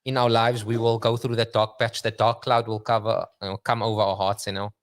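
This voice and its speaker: A man's voice: lowish voice, flat delivery. A man co-hosting a podcast, explaining ideas in a casual conversational way. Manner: quick, explanatory, mixes in Afrikaans slang. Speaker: podcast co-host.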